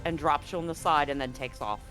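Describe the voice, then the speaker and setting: A man running a tabletop game as game master, describing scenes slowly and deliberately. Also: fairly high pitch, bright, forceful tone, faint music behind. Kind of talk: narrating a tabletop horror game.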